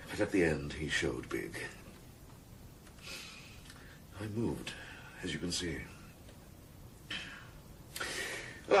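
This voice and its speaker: A suave, sardonic senior British policeman in a nineties radio drama. His voice is very low and lively in its swing, with mock-solemn, ornate phrasing. The broadcast recording has some background rumble. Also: far from the mic. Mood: smooth, menacing. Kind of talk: 1990s radio drama acting.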